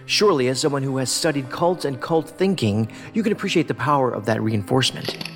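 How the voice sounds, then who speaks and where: A man's voice: medium pitch, animated, brisk, lightly acted. Man acting a sardonic federal agent, audio drama, wry and philosophical, wordy, hall reverb, music behind. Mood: argumentative, intense. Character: sardonic, erudite male federal investigator, cocky and philosophical.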